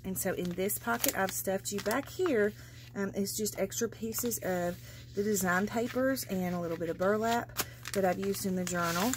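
A woman's voice: mid-range voice, slight background noise. A woman casually narrating a flip-through of her handmade journal as a craft seller, chatty and gushing, with many fillers. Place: indoors at a craft table. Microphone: overhead camera, speaker off-screen.

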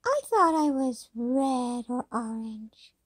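A squeaky little green dinosaur, as a woman puts him on, childlike and easily excited. The voice sits very high and swoops wildly up and down, drawn out slowly, with gasps, eager questions and sudden whispers. Dry and close, with no room around it. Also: dry recording. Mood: curious. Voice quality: high, squeaky, sing-song. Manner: gasping and exclaiming, asks questions, drops to whispers. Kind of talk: pretend play with a toy for a child.

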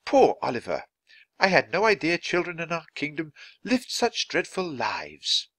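A man's voice: fairly high pitch, huge pitch swings, unhurried, fairly bright tone, dry recording, voice pushed up, exaggerated voice. A man voicing a sympathetic, well-bred queen in a storybook, with a formal, regal manner. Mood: moved, sympathetic.

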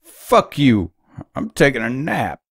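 A man playing a surly, demanding, foul-mouthed character in an audio drama, grumbling with a gravelly, husky voice. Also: medium pitch, huge pitch swings, slow pace, a bit bright, dry recording, studio quality, exaggerated voice. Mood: sulky, hostile.

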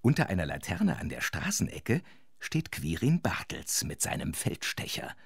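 A man playing an involved, expressive storyteller who narrates a children's audio drama. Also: deep voice, normal speed, vocal fry, breathy edge, dry recording, clean studio sound, heavily acted. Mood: narrating.

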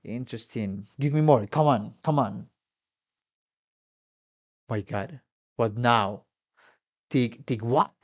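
A man's voice: medium pitch, slow pace, pauses often. A man in his thirties giving casual reaction commentary as a musician. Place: small home music room. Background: game trailer music.

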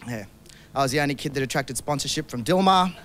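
A man's voice: mid-range voice, fast talker, heavy background noise. A young man from South Africa doing a stand-up routine on a TV stage with a handheld microphone, delivering dry one-liners with small hesitations. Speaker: stand-up comedian. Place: TV studio stage with live audience.

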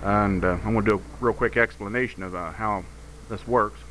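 A man's voice: on the low side, steady tone, normal speed, a bit bright. A man giving an informal hands-on demonstration of his radio equipment, explaining hesitantly and unscripted. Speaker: amateur radio hobbyist. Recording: noisy home video with radio hiss.

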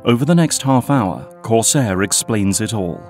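A man giving steady, plain scripted narration for an audio drama. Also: on the low side, moderate pace, faint music behind.

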